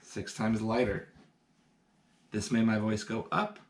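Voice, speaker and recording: A man's voice: lowish voice, flat delivery, takes it slowly, roomy sound. A man teaching science through a live demonstration, upbeat and explaining each step for kids indoors. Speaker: science educator. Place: indoor room.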